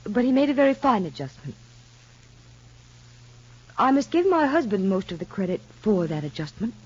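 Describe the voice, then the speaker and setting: An actress playing an anxious young mother in a radio soap opera, speaking politely and hesitantly in measured phrases. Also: medium pitch, big pitch swings, darkish tone. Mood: anxious, confiding. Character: anxious young mother confiding in child psychologist. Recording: muffled, husky-sounding old radio recording.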